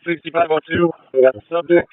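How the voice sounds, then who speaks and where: A man's voice: a little high, fairly even intonation, fast talker. A male police officer giving terse, urgent field reports over a handheld radio. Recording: tinny police radio, cutting out.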